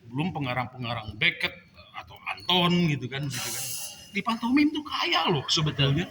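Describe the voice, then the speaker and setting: A man's voice: a little high, very animated, moderate pace. A man on an arts panel talking thoughtfully, rambling with frequent fillers. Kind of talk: casual panel discussion. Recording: noisy room ambience.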